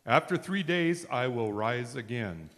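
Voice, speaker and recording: A man's voice: mid-range voice, animated, unhurried, fairly bright tone. A man playing a scornful chief priest in a church readers' theater scripture reading, in a reverberant hall. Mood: formal, urgent. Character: chief priests, scornful, mocking accusers of Jesus. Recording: echoey hall with long reverb.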